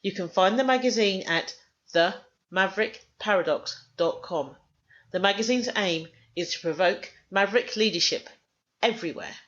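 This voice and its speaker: A woman hosting a podcast, reading a scripted introduction and then interviewing a guest in a measured, clear way. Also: medium pitch, a bit bright, dull audio. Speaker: podcast host.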